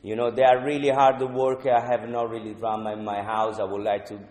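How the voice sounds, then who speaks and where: A man from Italy with an Italian accent, sharing his story at a twelve-step recovery meeting in halting English with many "you know"s. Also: on the low side, level tone, a little mellow. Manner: halting non-native English, frequent "you know", earnest. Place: meeting room. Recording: echoey hall, speaker off-mic.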